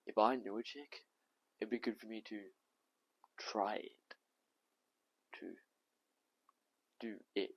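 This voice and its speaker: A man playing a bitter, frank, sick young boy in an emphatic, halting stage monologue. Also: fairly low pitch, close to an everyday voice. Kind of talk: dramatic stage audition monologue. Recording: dull, roomy echoing sound.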